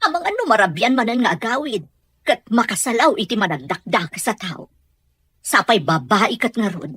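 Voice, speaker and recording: A woman's voice: fairly high pitch, big pitch swings, normal speed, fairly bright tone, breathy edge, no room sound, exaggerated voice. A woman with a Filipino accent playing a patient, worried middle-aged mother in a family audio drama. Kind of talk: amateur audio drama acting.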